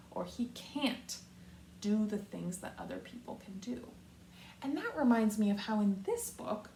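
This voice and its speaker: Animated American woman teaching young children. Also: medium pitch, vocal fry. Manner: simple, deliberate explanations with repeated phrasing. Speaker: kindergarten teacher. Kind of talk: read-aloud lesson for young children. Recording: roomy echo, distant mic, faint noise.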